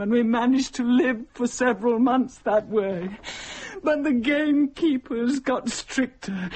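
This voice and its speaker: A desperate young mother from centuries past in a radio drama. Her voice is husky and worn. She recounts her hardship formally and haltingly, heavy with anguish and despair. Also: fairly high pitch, darkish tone. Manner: anguished, halting, formal old-fashioned storytelling. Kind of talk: dramatic radio play monologue.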